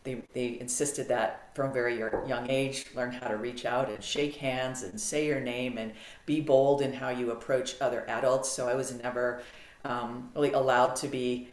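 A middle-aged American woman talking as a podcast guest on a video call, animated and clear with frequent ums. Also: a bass voice, normal speed. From United States. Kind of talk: casual interview storytelling. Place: home office, video call. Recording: roomy video-call audio.

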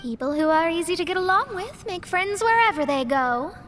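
A woman's voice: very high-pitched, lively intonation, fairly quick, fairly bright tone. A woman playing a smart, precise, didactic young female mage in a video game. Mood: matter-of-fact. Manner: matter-of-fact, didactic. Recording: game audio with faint background noise.

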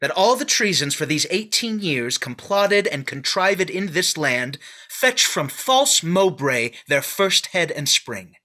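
Man playing an accusing nobleman, forceful, measured, bright tone, lively pitch. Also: exaggerated voice. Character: Bolingbroke, fierce accusing young nobleman. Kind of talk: staged Shakespeare reading. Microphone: video call webcam.

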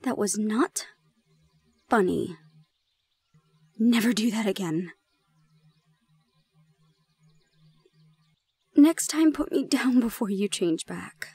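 A woman playing a shy, gentle young gorgon in an intimate audio roleplay, speaking softly and haltingly. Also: mid-range voice, expressive, husky, no room sound, full character voice. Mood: flustered, scolding. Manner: soft, hesitant, halting.

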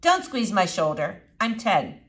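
A woman's voice: mid-range voice, big pitch swings, a little slow, a bit bright. A woman voicing a blunt, cynical and stubborn ten-year-old girl in a children's audiobook. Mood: defiant, blunt. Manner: blunt, curt.

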